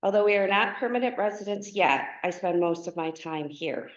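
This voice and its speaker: A woman in her fifties speaks softly and haltingly from a podium. Her voice carries through a large, echoing hall. The recording has a dull, muffled sound. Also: on the low side. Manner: soft-spoken, halting with fillers. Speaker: board candidate. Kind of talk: opening statement at a forum. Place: meeting hall. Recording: roomy, dull meeting recording.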